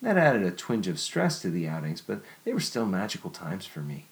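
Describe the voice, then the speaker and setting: A man reading aloud, voicing an excitable, dreamy young woman with a high, breathless, stammering voice. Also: fairly quick. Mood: wonderstruck.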